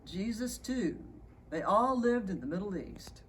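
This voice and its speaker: A woman reading a storybook as a patient but gently weary mother at bedtime. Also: mid-range voice, lively intonation, measured pace, close to an everyday voice.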